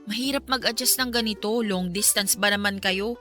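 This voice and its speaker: A woman's voice: mid-range voice, normal speed, pushed and bright, faint music behind, close to an everyday voice. A woman acting a supportive young friend in a Filipino radio drama, consoling and advising conversationally with a Filipino accent.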